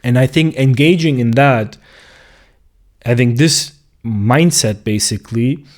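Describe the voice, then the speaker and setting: A man, a clinical psychologist talking in a slow, reflective monologue and searching for words as he goes. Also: medium pitch. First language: German. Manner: thoughtful, searching for words with restarts.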